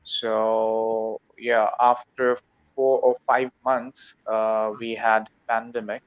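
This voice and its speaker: Halting man on dull lo-fi call audio. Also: on the low side, little pitch movement, no echo. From Pakistan. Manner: slow, halting, short answers. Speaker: teacher, English learner. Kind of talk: casual video-call chat. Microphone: video call.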